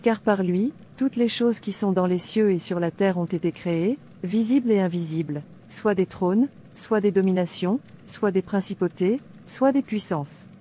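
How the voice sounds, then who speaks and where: A woman's voice: mid-range voice, moderate pace, hardly any pauses, warm tone, a little hiss or hum, close to an everyday voice. A woman playing a devout, defiant princess in a dubbed cartoon, declaiming earnestly like a preacher. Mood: firm, devout. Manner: declamatory, earnest, citing scripture. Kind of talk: sermon-like dubbed cartoon dialogue.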